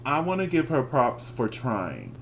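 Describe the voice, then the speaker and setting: Texan male co-host, natural Southern accent, relaxed and chuckly, mid-pitched voice, faint hum in the background. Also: creaky, low-quality recording. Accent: Texas Southern. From Texas. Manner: laid-back, chuckling, drawn-out reactions. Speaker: podcast co-host. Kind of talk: casual review chat.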